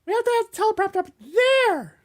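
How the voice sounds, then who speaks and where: A woman's voice: extremely high pitch, sing-song, fast. A woman from New York co-hosting a podcast, joking around and stumbling through read-out network promos. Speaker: podcast co-host reading network news. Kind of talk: casual podcast chat. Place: indoor studio with teleprompter.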